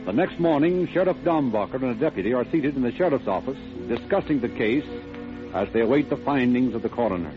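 An actor as a grave, matter-of-fact narrator of an old radio crime drama. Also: male voice, medium pitch, lively intonation, brisk, close to an everyday voice. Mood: grave, factual. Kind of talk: 1940s radio scripted narration. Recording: muffled old radio, music behind.

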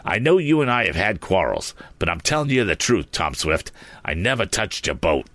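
A man's voice: deep voice, very animated, normal speed, fairly bright tone, no echo, exaggerated voice. A man voicing a teenage bully in a storybook who earnestly and defensively protests his innocence. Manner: defensive, earnest, plain-spoken.

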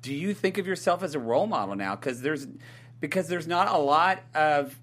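A man's voice: fairly high pitch, animated, moderate pace, fairly bright tone. A man hosting a casual talk panel, chatty and rambling, often laughing. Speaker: podcast host.

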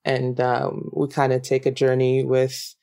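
Smooth-voiced Nigerian woman guest. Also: rumbling low, completely flat delivery, measured pace. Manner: warm, reflective, laughs easily, many ums. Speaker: actress guest. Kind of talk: casual interview chat.

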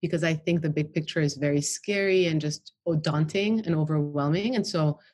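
A woman's voice: deep voice, brisk, a little mellow. A woman from the US capital, a guest chatting in an interview, loose and talkative with lots of filler words. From Washington DC, United States. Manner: chatty, frequent "you know" and "like". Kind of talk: casual interview conversation.

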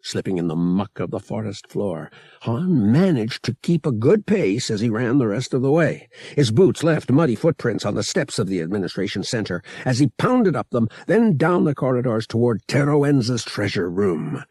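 A man's voice: mid-range voice, very animated, moderate pace, darkish tone, husky, no echo, studio quality. A man reading adventure fiction aloud as an audiobook narrator, in a scripted dramatic style.

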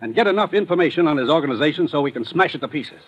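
A commissioner in an old radio thriller, grave and authoritative. He briefs quickly with lively, emphatic pitch. The vintage recording is muffled and a bit fuzzy. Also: breathy edge. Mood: urgent, grave. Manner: urgent, authoritative. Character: brisk, grave male government commissioner giving orders. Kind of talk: 1950s radio drama acting.

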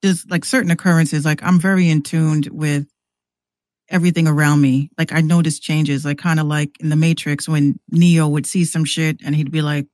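A man's voice: a little high, flat delivery, normal speed, a little mellow, dry recording. A man talking casually as a podcast guest, rambling and repeating words as he works out his thoughts. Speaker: podcast guest and music maker. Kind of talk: casual conversational podcast talk.

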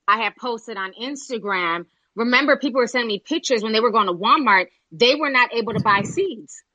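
An American woman hosting a casual chat, talking in an animated, rhetorical way with lots of "you know" and "like". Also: on the high side, fairly quick, dry recording, low-quality recording. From United States.

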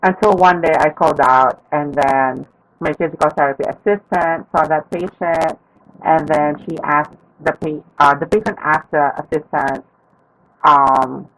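A woman who works as a physical therapist, candidly telling a personal story in a panel talk with a feminine-sounding voice and soft fillers. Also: low-pitched, poor audio.

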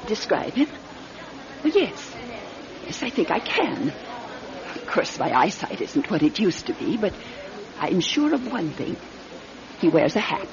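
A woman's voice: on the low side, very animated, a little slow, long pauses, crowd noise. An actress playing a timid, genteel old lady in a radio drama, fussy, hesitant and insistent. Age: older. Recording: dull, lo-fi old radio recording.

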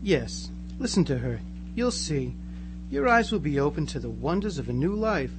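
Guy doing a brainwashed happy teen boy in a radio play, highish voice, really sing-song range, hissy hum behind. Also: very animated, moderate pace. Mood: blissful. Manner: earnest, placid, gushing. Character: blissfully converted small-town teenage boy, eerily reassuring. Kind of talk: live amateur radio drama acting.

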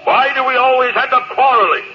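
An actor with an American accent playing a proud, emotionally closed captain in a classic radio drama, with intense, clipped delivery. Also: male voice, very high voice, fairly quick. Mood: firm, placating. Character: proud, stern, emotionally closed spaceship captain and fleet commander. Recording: noisy, dull old radio recording.

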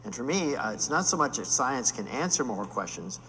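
A man's voice: mid-range voice, very animated, brisk, bright, forceful tone, big-room sound, a little hiss or hum, rough recording. A man in his sixties, a paleontologist and geologist, arguing bluntly in a panel discussion. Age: 60s.

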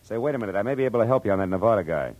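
An American actor playing a wry, tough private detective in a 1940s-style radio drama, with clipped delivery and first-person narration. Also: male voice, fairly low pitch, animated, rapid-fire, a little mellow, lo-fi sound. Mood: urgent, brisk. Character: hard-boiled, wisecracking male private detective narrating his case. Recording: old radio drama with music.

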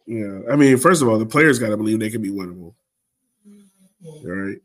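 A man's voice: fairly low pitch, animated, fairly quick, fairly bright tone. A man with an American accent hosting a sports podcast, talking informally and colloquially as a fan. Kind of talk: casual soccer fan discussion.

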